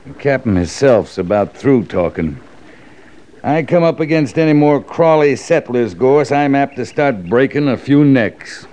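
A man's voice: mid-range voice, animated, measured pace, a little mellow, close to an everyday voice. A man playing a stern, weary, terse cavalry captain in a mid-century radio western. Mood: grim, impatient. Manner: terse, hard-bitten. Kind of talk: 1940s-50s radio western acting. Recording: dull, noisy old radio recording.

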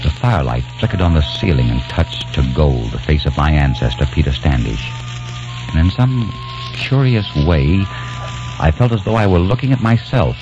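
A deep, earnest young American man narrates his own strange tale with lively rises and falls. The recording is a muffled 1940s radio broadcast with music underneath. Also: moderate pace. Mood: wondering. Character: young American man, dreamy, obsessed with the past. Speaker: lead actor and narrator. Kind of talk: 1940s radio drama acting. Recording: dull old radio recording.

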